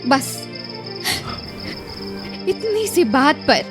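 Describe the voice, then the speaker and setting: A proud young village wife in a radio drama. Her voice is very high and she speaks slowly, with a plaintive, indignant edge, over a music bed. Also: sing-song, full character voice. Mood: indignant complaint. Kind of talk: Hindi radio drama acting.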